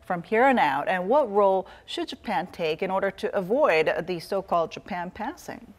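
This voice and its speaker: A woman's voice: medium pitch, animated, moderate pace. A woman working as a news anchor, putting questions to a guest in a live interview.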